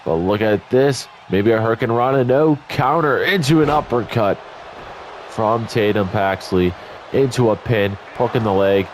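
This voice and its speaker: A man's voice: fairly low pitch, moderate pace, a little hiss or hum. Congested, tired-sounding man commentating a game. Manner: chatty, rambling asides, self-corrections. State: congested and tired, just woke up. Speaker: YouTube gaming commentator. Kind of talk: casual play-by-play commentary over a wrestling video game. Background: faint game music.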